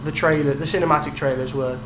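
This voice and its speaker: A man's voice: a little high, fast. A young man co-hosting a podcast, chatting casually about video games. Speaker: podcast co-host. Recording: roomy echo, steady background noise and hum.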